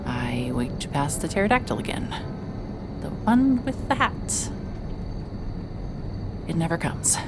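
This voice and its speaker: An actress playing a hard-hearted, sleep-deprived woman private investigator in a horror audio drama, speaking in a weary, halting way. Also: low voice, very animated. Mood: uneasy, weary. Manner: weary, halting, stammering under stress. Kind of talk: noir-like first-person audio drama narration. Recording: music behind the voice.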